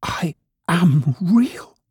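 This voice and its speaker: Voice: mid-range voice, very animated, normal speed, dark tone, husky, dry recording, studio quality, voice pushed up. A narrator voicing a small, shy, proud toy rabbit in a children's storybook, earnest and plaintive like a young boy. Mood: tearful, pleading.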